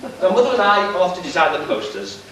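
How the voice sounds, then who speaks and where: Echoey, high-pitched grumbling pantomime king played by a man. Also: big pitch swings, quick pace, crowd noise. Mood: bossy. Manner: declamatory, sardonic. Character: grumbling bossy king, exasperated father of lazy prince. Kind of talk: amateur pantomime stage acting. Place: church pantomime stage, far camera. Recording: echoey distant noisy amateur stage video.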